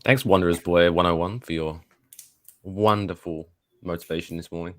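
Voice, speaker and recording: A young man with a very deep voice joins a casual sports watchalong. He adds short, dry, wry comments to the chat. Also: steady tone, normal speed, fairly bright tone. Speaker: podcast co-host.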